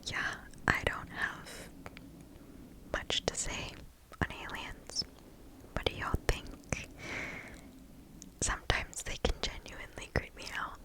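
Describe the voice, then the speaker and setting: A woman hosting ASMR, reading and chatting in a soft, breathy, unvoiced whisper very close to the microphone. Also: low-quality recording. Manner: soft, slow, unhurried. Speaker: ASMR video host.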